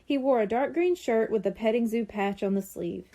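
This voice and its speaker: A woman narrating a children's storybook in an animated storytelling manner. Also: a little high, moderate pace. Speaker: audiobook narrator.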